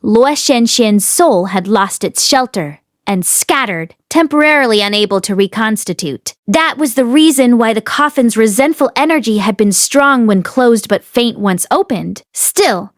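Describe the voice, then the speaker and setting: A woman narrates an audiobook in a fairly high voice. Her delivery is expressive, scripted storytelling. The sound is dry, with no room echo. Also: unhurried, few pauses. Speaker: audiobook reader and host.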